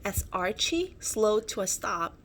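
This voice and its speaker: Slow, very expressive woman reading a storybook. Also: medium pitch. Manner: dramatic, expressive. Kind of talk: children's picture-book read-aloud with asides. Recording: faint background noise.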